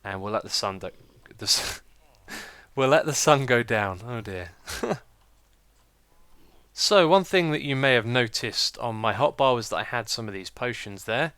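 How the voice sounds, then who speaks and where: A British man giving casual, chatty gameplay commentary as a let's play host, with a slightly croaky voice and a self-mocking manner. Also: mid-range voice, animated, measured pace, pushed and bright, no echo. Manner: chatty, self-mocking, laughs at own slips.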